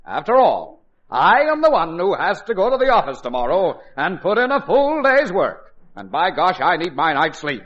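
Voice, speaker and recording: A man's voice: high voice, sing-song, normal speed. An actor playing an exasperated middle-aged father who sputters and makes pompous, emphatic pronouncements in an old radio comedy. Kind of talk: old-time radio situation comedy acting. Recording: muffled vintage radio broadcast.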